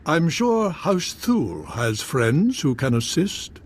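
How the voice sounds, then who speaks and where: A courteous noble diplomat in a video game. His phrasing is formal and deliberate, with theatrical, very lively intonation. Faint ambient noise sits behind the voice. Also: fairly high pitch. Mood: courteous, grave. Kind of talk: video game dialogue acting.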